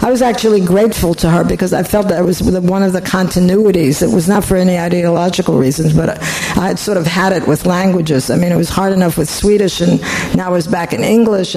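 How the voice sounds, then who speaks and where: A woman in her eighties from Poland, thinking back in an oral history interview with many pauses and restarts. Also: lowish voice, creaky, warm tone, slight background noise, poor audio. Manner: reflective, halting, frequent restarts.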